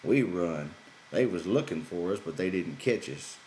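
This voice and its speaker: A man voicing a gruff, bossy ranch hand in an exasperated rustic dialect for an audiobook. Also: deep voice, animated, unhurried, dark tone. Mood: disgusted. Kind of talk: character voice in audiobook reading. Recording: roomy echo, background noise.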